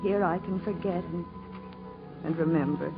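An actress playing a lonely, tender wife pleading with longing in a 1950s radio melodrama. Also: female voice, fairly low pitch, gravelly. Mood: yearning, pleading. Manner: slow, yearning, pleading. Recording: dull 1950s radio recording over music. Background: loud dramatic music.

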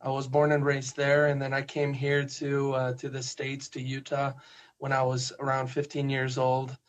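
A man from Spain with a Spanish accent, a guest poet who chats hesitantly and reads his poems slowly and softly. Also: mid-range voice, monotone. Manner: hesitant, soft-spoken, slow measured reading. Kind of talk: casual chat and poetry reading.

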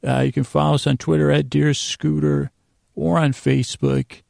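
A man hosting a bedtime podcast in a gravelly voice, rambling in a halting, self-deprecating way that trails off mid-sentence to lull listeners to sleep. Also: fairly high pitch, flat delivery, darkish tone, no room sound, clean studio sound. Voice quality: gravelly, creaky. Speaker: podcast host.

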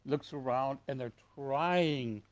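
A man from Detroit chatting casually as a guest, telling stories with animated put-on voices. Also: slow, no echo. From Detroit, USA. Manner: animated storyteller, acts out customers in high voices. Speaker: guest, store owner. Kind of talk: casual chat.

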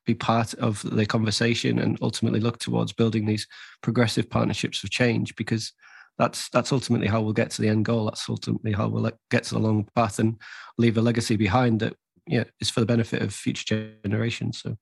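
Male podcast host, level monotone delivery with vocal fry, dry close recording. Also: lowish voice, dry recording. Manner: measured, repeats phrases, many restarts. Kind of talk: conversational interview.

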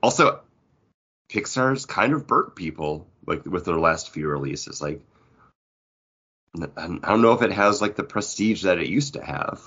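A man's voice: sits low, expressive, a little slow, fairly bright tone, husky, muffled recording. A man co-hosting a podcast, chatting casually about films with other people. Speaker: podcast co-host.

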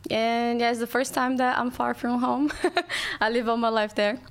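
A woman with a Brazilian accent, a native Portuguese speaker, chatting casually as a podcast guest in slightly halting English. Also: fairly high pitch, flat delivery, clear, resonant tone. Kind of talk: casual chat.

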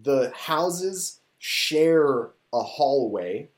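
A man in casual chat, retelling a show in an animated, rambling, excitable way. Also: medium pitch, extremely slow. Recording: echoey room.

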